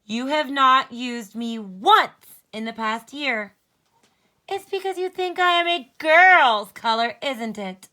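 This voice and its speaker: A woman's voice: high voice, animated, slow, sharp tone, voice pushed up, heavily acted. A woman voicing a sassy, indignant storybook crayon with a bossy, emphatic delivery. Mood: indignant, scolding. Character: sassy, indignant pink crayon scolding a boy.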